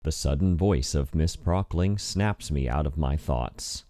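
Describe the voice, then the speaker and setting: A man's voice: extremely low pitch, a little slow, dark tone. A man reading scripted first-person narration for a visual novel in an introspective, wry manner. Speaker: narrator and voice actor.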